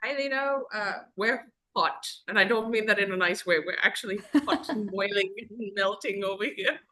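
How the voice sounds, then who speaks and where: A woman's voice: mid-range voice, bright, forceful tone, slight background noise. Chatty woman from Zambia, rambling storyteller. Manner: rambling, conversational storytelling with many pauses. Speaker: podcast guest. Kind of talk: casual podcast conversation.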